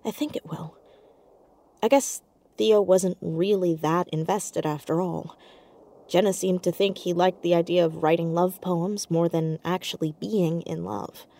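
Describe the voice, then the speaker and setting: An actress playing an earnest, caring teenage girl in an audio drama, speaking in a hesitant, halting, naturalistic way. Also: fairly low pitch, fairly even intonation, mellow. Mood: puzzled, guarded. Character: earnest, awkward, caring teenage girl amateur sleuth, hiding in fear.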